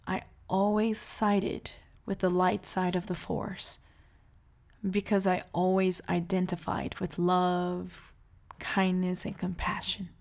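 Woman, 30s, from Brazil, slow-paced, soft-spoken, reflective personal monologue. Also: mid-range voice. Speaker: mother telling her story. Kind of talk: personal life story monologue.

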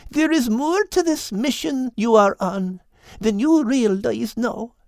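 A small-sounding, high-voiced animal friend from an audiobook. He speaks earnestly and tenderly, with measured solemnity, in a dry, close sound. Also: a little mellow, no room sound, full character voice. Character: gentle male animal friend solemnly relaying dying words. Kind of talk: audiobook character voice.

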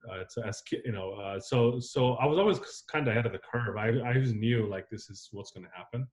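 A man's voice: medium pitch, a little fast, a little mellow. Reflective man, rambling with fillers. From born in Zambia, raised on the US East Coast. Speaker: filmmaker guest. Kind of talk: casual interview conversation.

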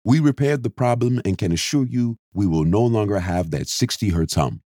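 A man's voice: on the low side, expressive, normal speed, dark tone, dry recording, studio quality, voice pushed up, lightly acted. A man voicing a confident, polite young sound technician.

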